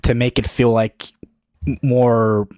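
A man who makes video reviews, talking in a casual group chat in a flat, monotone voice with many halting restarts. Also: mid-range voice, slight background noise. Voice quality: self-described monotone voice.

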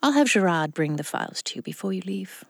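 Flamboyant rich old widow, swooping delivery. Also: female voice, mid-range voice, very animated, fast, creaky, dry recording, studio quality. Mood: wry, matter-of-fact. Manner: theatrical, grand, flirtatious drawl. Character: flamboyant, lusty, vengeful wealthy widow in her seventies. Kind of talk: audio drama acting.

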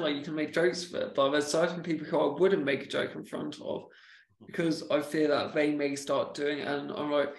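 A man chatting casually on a podcast, speaking in a halting way with repeated words from a stammer. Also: fairly high pitch, reverberant, poor audio.

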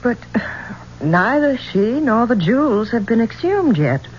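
Spirited female adventurer, lively swooping pitch, wry and inquisitive, slightly dull 1970s radio drama sound. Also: a little hiss or hum. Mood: inquisitive, uneasy. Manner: wry, teasing, quick to question. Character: spirited, curious female singer seeking adventure.

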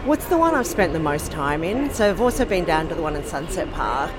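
An Australian woman from Sydney, speaking in a casual, thoughtful interview and pausing often with ums and restarts. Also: low-pitched, sing-song, loud music behind the voice. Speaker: podcast host being interviewed.

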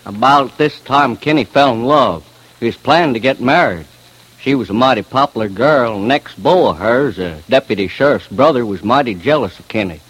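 A man's voice: mid-range voice, animated, normal speed, a bit bright, breathy edge, low-quality recording. A man with a Southern US accent giving a folksy eyewitness account on old radio. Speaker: local eyewitness.

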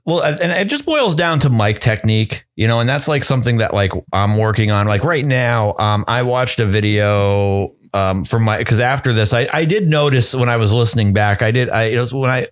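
A podcast co-host and audio editor in a casual chat, rambling with many restarts and a gravelly vocal fry, on a close studio mic. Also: male voice, medium pitch, animated, moderate pace, few pauses.

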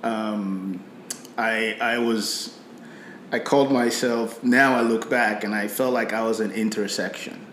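Middle-aged guy from nigeria, light nigerian accent, low voice, thoughtful, lots of you know and repeats, roomy echo. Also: fairly even intonation, measured pace, a bit bright, slight background noise. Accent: Nigerian British-tinged. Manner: reflective, repeats words, many fillers. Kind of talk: faith conversation.

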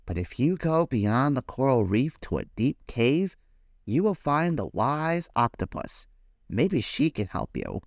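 A man voicing a kindly starfish who gently gives advice in a storybook reading. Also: mid-range voice, very animated, a little slow, no room sound, studio quality, voice pushed up. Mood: gentle.

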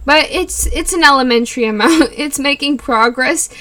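Young woman from california rambling on her podcast, bright voice, lots of likes and little laughs. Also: sits high, normal speed. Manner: rambling, giggly, lots of likes and you knows. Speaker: podcast host. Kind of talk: casual solo podcast chat.

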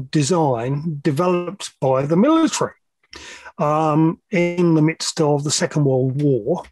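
A man's voice: fairly high pitch, a little mellow, no room sound. A middle-aged man explaining technical matters as an interview guest, hesitant at times, with plain-spoken asides. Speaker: podcast guest, loudspeaker measurement engineer.

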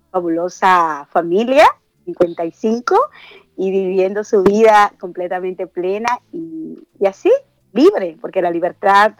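A woman's voice: fairly low pitch, darkish tone, dry recording. A woman from the Dominican Republic with a Dominican accent, co-hosting a radio show and speaking earnestly, with frequent hesitations. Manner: earnest, halting, many "eh" fillers. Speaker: radio co-host. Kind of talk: reflective radio talk.

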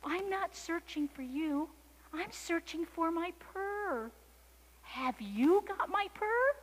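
A woman voicing a small, plaintive female kitten with pleading, drawn-out questions in a storybook reading. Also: extremely high pitch, lively intonation, in a large hall, pitched above the performer's own voice, full character voice, poor audio. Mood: soft, coaxing.

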